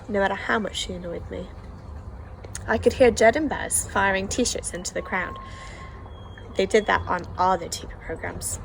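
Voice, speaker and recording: A woman's voice: medium pitch, creaky, slight background noise, poor audio. A woman reading a children's novel aloud as the first-person narrator, telling the story expressively. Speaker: audiobook reader.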